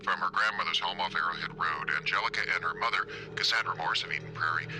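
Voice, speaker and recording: A man's voice: low-pitched, steady tone, sharp tone, slight background noise. An actor playing a formal male radio news announcer reading a grave bulletin in an audio drama. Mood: brisk, grave. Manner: formal, measured newsreader phrasing.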